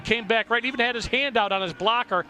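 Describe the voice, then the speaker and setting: A man's voice: very high-pitched, fast. A man giving live football color commentary in short, exclamatory phrases as he breaks down the play.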